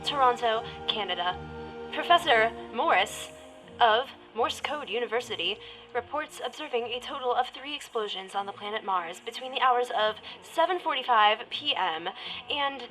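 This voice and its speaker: A high, bright-voiced young woman intern played by an actress in a comic radio parody. She speaks clearly over faint music. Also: poor audio. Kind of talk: comedic radio parody acting.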